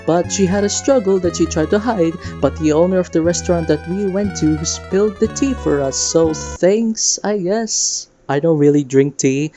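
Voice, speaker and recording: A woman giving casual, playful and enthusiastic fan commentary on a video game. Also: deep voice. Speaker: video creator hosting a game discussion. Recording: music behind, slightly dull sound.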